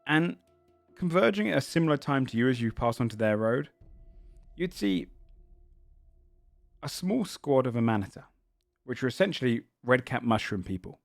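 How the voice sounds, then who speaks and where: A man's voice: medium pitch, very animated, normal speed, vocal fry, pauses often, no room sound, soft background music. Man narrating as game master, dry sound. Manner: descriptive, searching narration.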